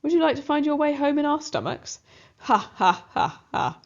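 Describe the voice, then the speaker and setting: A woman's voice: sits high, very animated, moderate pace, voice pushed up. Menacing ginger cat villain, voiced by a woman, cruel, taunting, gleeful, children's storybook, slightly dull sound. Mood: gleefully cruel. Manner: taunting, threatening. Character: two massive wicked ginger tomcats, menacing, gleefully cruel.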